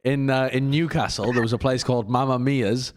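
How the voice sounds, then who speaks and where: A man's voice: mid-range voice, fairly even intonation, fairly quick. A man co-hosting a podcast, chatting casually with dry, wry remarks. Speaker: podcast co-host. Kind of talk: casual chat.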